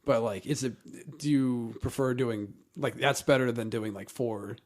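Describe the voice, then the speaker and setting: A man's voice: medium pitch, fairly even intonation, unhurried. A man who is a guest on a podcast, chatting casually and crudely, with frequent involuntary swear words breaking in.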